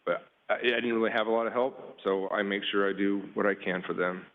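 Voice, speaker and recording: A man giving a panel presentation with slides, talking casually with many pauses and fillers. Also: on the low side, fairly even intonation. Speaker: panelist, water utility worker. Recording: tinny phone-like line.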